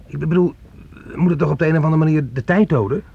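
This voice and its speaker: A man's voice: a little high, fast, gravelly, husky. An actor in a Dutch radio play playing a menacing, needy fugitive who resents his mother, brooding and needling. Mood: menacing, taunting. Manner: brooding, needling, childishly aggrieved. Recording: muffled old radio play recording.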